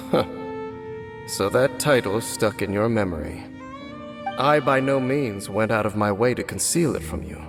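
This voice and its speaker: A grave, brooding swordsman. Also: lowish voice. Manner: slow, formal and solemn. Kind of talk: game cutscene voice acting. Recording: game audio with long reverb and music.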